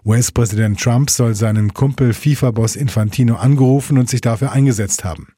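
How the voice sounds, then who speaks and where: A man's voice: mid-range voice, brisk, mellow, breathy edge, no room sound, studio quality. A man reading scripted radio news as the anchor, in standard German.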